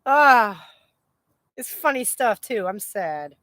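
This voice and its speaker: Female streamer, higher-pitched, casual and exclamatory, swears freely, very dry room sound. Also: big pitch swings, slow pace, a bit bright, no room sound. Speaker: host of a live chat stream. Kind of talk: casual rambling chat.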